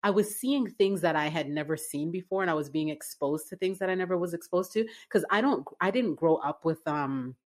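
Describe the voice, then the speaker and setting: A woman from Canada in her forties, a business-owner guest telling her story in a relaxed interview, with lots of fillers and restarts. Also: on the low side, brisk, hardly any pauses. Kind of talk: casual interview conversation.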